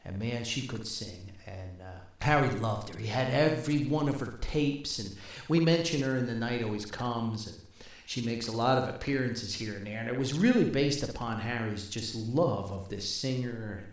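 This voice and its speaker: An older man telling a rambling, casual story as a podcast host, with a folksy, wry, halting manner. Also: medium pitch. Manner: folksy, wry, animated, halting with long asides. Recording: very echoey, reverberant room.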